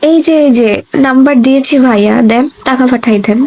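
High-pitched young Bangladeshi woman, pleading. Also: fast talker, dark tone. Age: young adult. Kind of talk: short casual prank voice clips asking game players for money. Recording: background music under the voice. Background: music.

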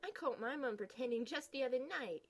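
A woman's voice: sits high, lively intonation, fairly quick. A young woman reading her own comic aloud, playfully switching voices between the characters. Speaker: comic reader.

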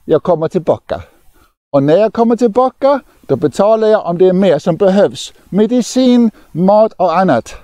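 A man's voice: high voice, lively intonation, mellow, no echo. An older man voicing a kind, eager traveller who insists on helping. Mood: earnest.

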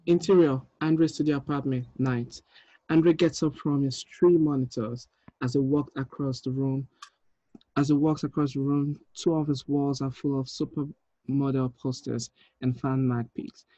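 A man's voice: medium pitch, fairly even intonation, unhurried, mellow, dry recording, highs cut off. A man in his thirties reading script directions as a film director over a video call. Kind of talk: flat scripted narration. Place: room at home, indoors.

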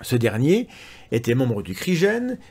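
A man's voice: mid-range voice, wildly swooping pitch, measured pace, a little mellow, slightly rough. Sardonic man reading a critique. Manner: sardonic, ironic asides. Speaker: science skeptic video host. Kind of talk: reading a critical analysis to camera. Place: indoor room at a desk.

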